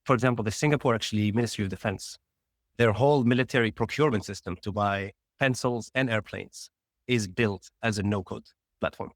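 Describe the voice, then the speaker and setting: A man from Tunisia talks fast and a little low, piling up his story with quick restarts. He is a founder guest on a casual interview show. The sound is dry and close, with no room around it. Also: no room sound.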